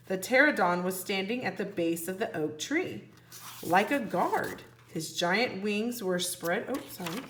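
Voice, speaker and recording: A woman's voice: medium pitch, fairly bright tone, reverberant, slight background noise. A woman teacher reading a children's storybook aloud expressively, putting questions to young listeners. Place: indoor room, book held on camera.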